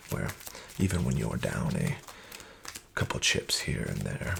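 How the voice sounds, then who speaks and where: A man in a soft-spoken roleplay as a smooth, flattering casino dealer, often whispering and slyly nudging the listener to bet more. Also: very deep voice, a little hiss or hum. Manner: whispery, with much unvoiced soft speech. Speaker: ASMR roleplay creator playing a casino dealer.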